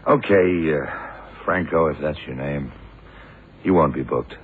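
Shrewd detective, extremely deep, deliberate and authoritative, on a noisy old radio broadcast. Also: male voice, phone-quality sound. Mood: calm, probing. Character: shrewd, commanding male detective Lee, calm and terse. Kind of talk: 1970s radio crime drama acting.

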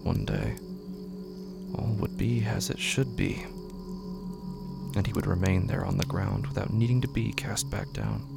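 A man with a gravelly, creaky voice giving brooding, hushed, literary narration in a horror audio drama. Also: sits low, big pitch swings, unhurried, long pauses, over loud music. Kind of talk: scripted literary narration, solemn and slow.

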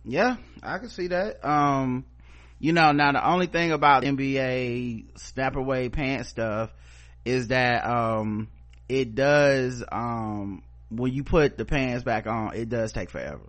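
A man's voice: medium pitch, slow pace, a bit bright. Teasing, profane man podcast host. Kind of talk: casual podcast chat.

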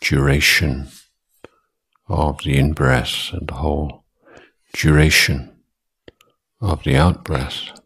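A man teaching guided meditation as a monk, speaking in short, deliberate phrases with long pauses between them. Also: a bass voice, fairly even intonation, creaky, fairly bright tone, husky.

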